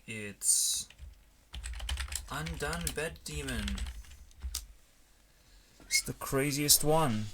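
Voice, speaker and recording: A man rambling casually in a halting, musing way, often trailing off, as if talking through his work on a stream. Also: medium pitch, pushed and bright. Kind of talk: rambling casual chat while coding. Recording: noticeable background noise, keyboard clicks.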